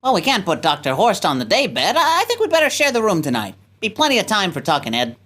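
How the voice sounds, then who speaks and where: A woman's voice: medium pitch, huge pitch swings, quick pace, a bit bright. A woman playing a man, a dutiful, affectionate grown son who commands a navy rocket, in a sci-fi radio play. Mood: guarded, affectionate.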